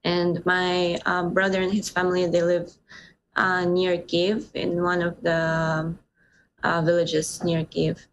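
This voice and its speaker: A woman from Ukraine answering questions as a guest, calm and measured. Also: on the low side, muffled recording, a little hiss or hum.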